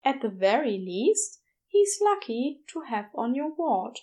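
A woman's voice: high-pitched, big pitch swings, measured pace, mellow. A woman voicing a fond, gossipy nurse in an audiobook story, confiding with dramatic emphasis. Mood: fond, admiring. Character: fond, gossipy nurse praising a beloved colleague.

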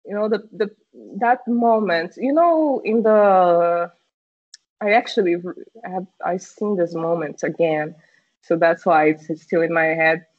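A Brazilian woman speaking English as a second language, a podcast guest in casual chat, pausing often to search for words. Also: lowish voice, no echo. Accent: Brazilian Portuguese. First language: Portuguese. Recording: muffled lo-fi call audio.

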